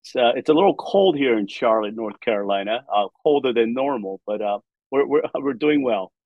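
A man in his forties with an American accent, born in South Korea and raised in the United States, answering as a guest pastor in a steady, friendly way. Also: medium pitch, fairly quick, dry recording, lo-fi sound. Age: 40s. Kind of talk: interview answers.

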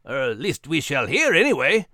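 A man's voice: a little high, wildly swooping pitch, moderate pace, bright, forceful tone, no echo, clean studio sound, heavily acted. A man playing a small, cheeky, feisty male dog with a Scottish accent in a children's podcast. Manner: cheeky, teasing. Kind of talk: character acting for a children's podcast.

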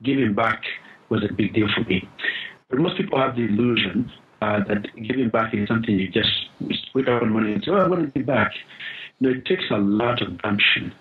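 A man from Uganda, a guest in a podcast interview, telling his story earnestly with pauses and restarts over a call line. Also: fairly low pitch. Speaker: guest, founder of a charity. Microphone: phone or call line. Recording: muffled lo-fi call audio.

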